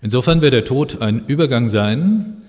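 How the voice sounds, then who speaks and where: A man's voice: mid-range voice, animated, a little mellow. A man from Germany preaching a sermon in a conversational, explanatory way, moving between Bible quotations and personal anecdotes. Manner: conversational, explanatory, pausing with fillers. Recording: long echoing reverb of a hall.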